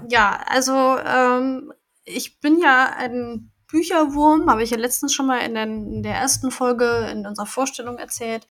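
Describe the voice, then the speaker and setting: A chatty woman with a fairly high voice talks loosely and at length, laughing often and filling pauses as she sums up a book she liked. She sounds relaxed and casual, like a friend talking on a podcast. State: has a cold. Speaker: podcast co-host. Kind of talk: casual chat about books and audio dramas.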